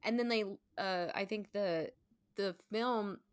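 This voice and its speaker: A woman's voice: medium pitch, takes it slowly, smooth, no room sound. Woman in casual, informal conversation. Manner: informal, conversational, heavy use of "like". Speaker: guest, stage performer. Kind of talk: casual chat.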